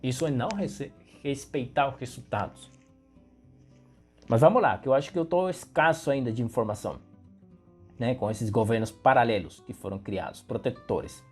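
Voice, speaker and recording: Husky man with a Venezuelan accent. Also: medium pitch, normal speed, a little mellow, soft background music. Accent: Venezuelan Spanish. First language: Spanish. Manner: emphatic, talks straight to the viewer. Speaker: political commentator. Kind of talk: explanatory political commentary.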